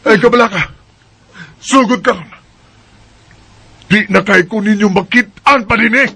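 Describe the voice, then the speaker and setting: A man's voice: high voice, unhurried, gravelly, pushed and bright, a little hiss or hum, full character voice. A man voicing a resentful young man who defies his parents in a radio drama. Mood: bitter defiant. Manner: bitter, sarcastic.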